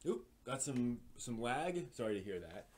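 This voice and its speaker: A man hosting a casual live stream, explaining hobby projects in a halting, thinking-aloud way. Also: mid-range voice, very animated, darkish tone.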